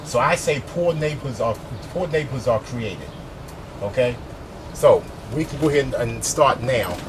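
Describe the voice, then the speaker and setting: A man talks a group through a bus tour, folksy and emphatic, his soft voice set at an ordinary pitch. The recording comes from a small camera inside a moving school bus with the windows down, and loud traffic and engine noise runs under everything. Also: lively intonation, moderate pace. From East Baltimore, USA. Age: 50s. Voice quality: soft voice, by his own account. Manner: folksy, repeats "okay?" and "y'all", emphatic and gesturing. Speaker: community activist and tour guide. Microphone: small handheld camera, with a little mic.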